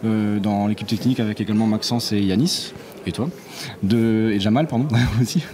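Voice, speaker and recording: A man from a technical team explaining things off the cuff, halting and correcting himself. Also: on the low side, a crowd in the background. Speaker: technical team member, guest. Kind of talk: unscripted explanation.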